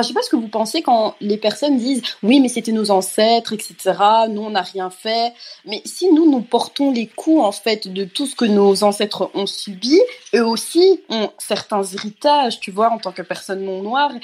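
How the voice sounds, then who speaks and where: A woman's voice: fairly high pitch, a little mellow, slightly rough. A young woman of Rwandan origin, speaking in a panel discussion as a specialist, reflective and full of fillers. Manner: reflective, many fillers like "en fait". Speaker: panelist, specialist of the Afro-descendant community. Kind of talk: casual discussion.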